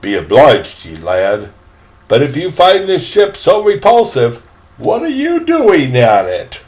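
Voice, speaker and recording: Man putting on a genteel old yankee gentleman from a storybook, nostalgic, slow, goes up and down a lot. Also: medium pitch, huge pitch swings. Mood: grateful, curious. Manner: old-fashioned, genteel phrasing.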